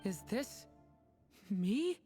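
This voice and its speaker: A woman voicing a gentle, innocent young male dragon in a video game, earnest and hesitant. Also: medium pitch, big pitch swings, extremely slow, music in the background. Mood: tender, hesitant. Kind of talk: video game character acting.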